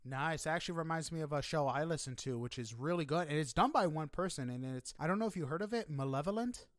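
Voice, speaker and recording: Guy podcast host chatting casually, kinda high voice, animated and informal, pretty dry sound. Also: fast, dry recording. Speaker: podcast host interviewing guests. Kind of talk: casual interview chat.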